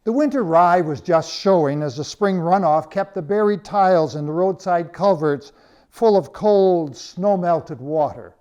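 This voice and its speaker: A man reading his own story as scripted narration, with measured, dramatic phrasing and pauses. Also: high-pitched, lively intonation, a little mellow, muffled recording.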